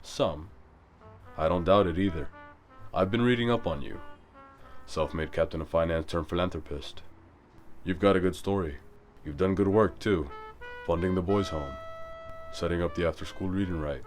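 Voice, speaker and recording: A man playing a brooding, guarded vigilante in an audio drama, terse and controlled with a gravelly voice. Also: normal speed, soft background music, natural voice. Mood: measured, dry. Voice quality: low, gravelly.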